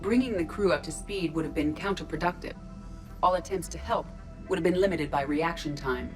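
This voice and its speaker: A woman plays an artificial intelligence speaking through a female robot body in a video game. The voice is low and controlled, with precise, formal, emotionless phrasing. Faint music plays behind the words. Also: a little fast, close to an everyday voice. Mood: calm, analytical.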